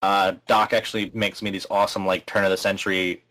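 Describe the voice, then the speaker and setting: A man's voice: low-pitched, level tone, fairly quick, pushed and bright. Chatty man, laughing a lot. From American. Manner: chatty, laughs often, rambling. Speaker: podcast guest. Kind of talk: casual chat.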